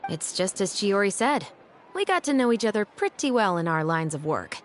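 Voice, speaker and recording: A woman playing a composed, dutiful female patrol captain in a video game, speaking in a measured, dryly wry way. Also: medium pitch, very animated, a little hiss or hum, close to an everyday voice. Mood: explanatory, calm. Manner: measured, dry, articulate.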